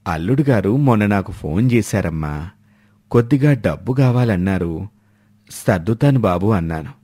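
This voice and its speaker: A man's voice: fairly low pitch, expressive, moderate pace, dark tone, slightly rough, voice pushed down. A man voicing a concerned elderly father in a family storybook tale. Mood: troubled. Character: elderly father, concerned, slow-spoken village in-law.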